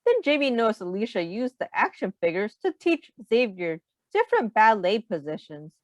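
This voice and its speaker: A woman reading aloud in an instructive, deliberate way with expressive emphasis. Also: medium pitch, dry recording. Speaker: teacher reading a picture book aloud. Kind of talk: read-aloud narration.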